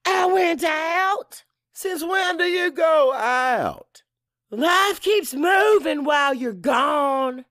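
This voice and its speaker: A man voicing an anguished, defiant wife in an audiobook drama, tearful and forceful with a raised voice. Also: very high-pitched, expressive, very slow, pitched above the performer's own voice, full character voice. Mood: cold, bitter. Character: anguished, defiant wife standing up to her husband.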